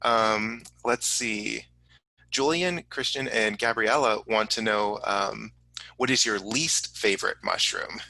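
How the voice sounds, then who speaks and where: A man hosting a casual live question-and-answer program, speaking haltingly as he relays audience questions. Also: on the low side, vocal fry, bright, forceful tone, dry recording, poor audio. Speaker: host of a science museum online program.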